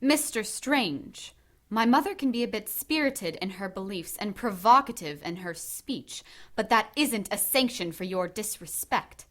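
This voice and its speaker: Lead witch: actress as regal, composed high priestess, mid-range female voice, formal and authoritative radio-play delivery. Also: lively intonation, unhurried, close to an everyday voice. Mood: stern dignity. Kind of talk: audio drama acting.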